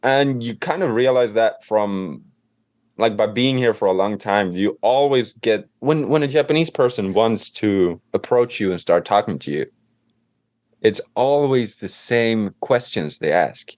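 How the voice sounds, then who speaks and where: A man's voice: fairly low pitch, a little slow, no room sound, clean studio sound. A man with a Swedish accent, a native Swedish speaker, talking casually and loosely as an interview guest. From Sweden. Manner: relaxed, loose, leans on fillers. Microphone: remote call.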